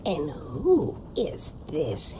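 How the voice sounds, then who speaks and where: Elderly female guest: genteel, effusive, slow delivery, faint noise behind, comic audio drama. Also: deep voice, huge pitch swings, dark tone, exaggerated voice. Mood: effusive, charmed. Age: older. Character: gracious, theatrical little old lady guest. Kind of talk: sci-fi comedy audio drama acting.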